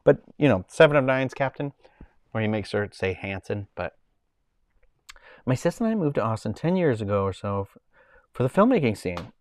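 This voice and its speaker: A man's voice: lowish voice, very animated, normal speed, long pauses, a little mellow, dry recording, studio quality. A man with a Boston accent, hosting a casual livestream from home and chatting in a rambling way while he reads the chat. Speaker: livestream host.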